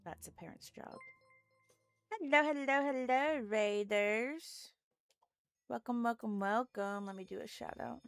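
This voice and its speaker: A woman's voice: on the high side, measured pace, creaky, clear, resonant tone. A woman game streamer chatting casually with her viewers. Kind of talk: casual livestream chat. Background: faint music.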